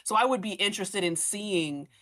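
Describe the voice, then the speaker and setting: A woman's voice: medium pitch, normal speed, fairly bright tone. A woman chatting casually as a podcast cast member, informal and thinking aloud as she goes. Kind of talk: casual panel chat.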